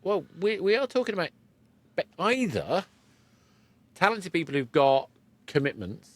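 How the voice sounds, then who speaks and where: A man's voice: high voice, sing-song, measured pace, dry recording. A man hosting a conversational podcast interview, often repeating and rephrasing his words as he goes. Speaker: podcast host.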